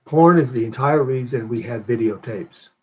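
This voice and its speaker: A man with a husky, slightly breathy voice chats with a livestream host. He talks in quick, casual bursts. His pitch stays in a middle range. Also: rough recording. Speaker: guest on a call. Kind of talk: casual chat.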